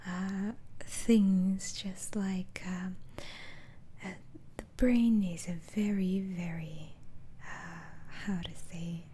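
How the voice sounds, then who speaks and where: Woman whispering asmr doctor roleplay, slow n mellow, kinda hesitant, soft and reassuring. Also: lowish voice, fairly even intonation, breathy edge. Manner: soft, hesitant, gently reassuring, halting phrasing.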